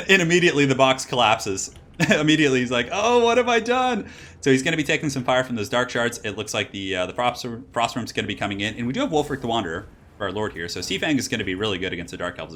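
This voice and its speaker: A young man commentating a competitive strategy game on his own stream. His voice is slightly raspy, and he talks quickly in a loose, rambling way, breaking into excited exclamations at the plays. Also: medium pitch. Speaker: esports caster and channel host.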